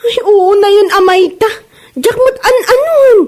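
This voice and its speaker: A woman performs an aggrieved market seller with a very high voice and an Ilocano accent. She is quick-tempered and fretful, in the manner of a comedy radio drama. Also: a little mellow. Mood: exasperated.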